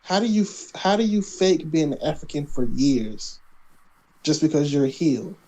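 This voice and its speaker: A man's voice: on the high side, expressive, normal speed, a little mellow, low-quality recording. A man co-hosting a casual podcast, talking wrestling in a laid-back, skeptical, teasing way. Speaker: podcast co-host. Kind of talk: casual wrestling predictions chat.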